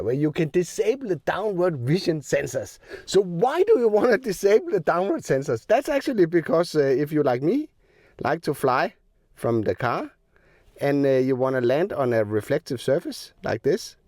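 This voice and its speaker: A man explaining new drone features step by step as he demos them, his voice a little rough because he is sick. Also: on the high side, a little mellow, no room sound, studio quality. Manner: plain step-by-step explaining with filler ums. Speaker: drone tech reviewer. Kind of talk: hands-on product demo. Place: outdoors in the cold.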